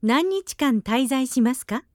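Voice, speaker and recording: A woman giving short, clear phrase prompts for a language lesson at a brisk pace. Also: high-pitched, animated, no echo, studio quality.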